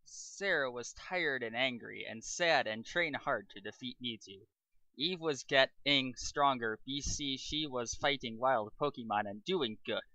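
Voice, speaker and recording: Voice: medium pitch, measured pace, sharp tone, no echo, low-quality recording. A narrator giving an amateur dramatic reading of fan fiction.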